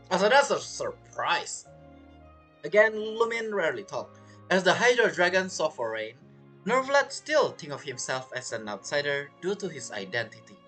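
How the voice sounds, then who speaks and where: Animated game streamer with swooping pitch. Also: poor audio. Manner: non-native, choppy grammar. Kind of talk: casual game playthrough commentary. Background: game music.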